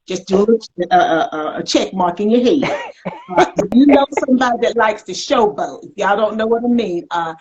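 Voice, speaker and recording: A woman's voice: mid-range voice, darkish tone, lo-fi sound, slight background noise. An American woman hosting a casual interview in a chatty, playful, folksy way. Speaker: talk show host.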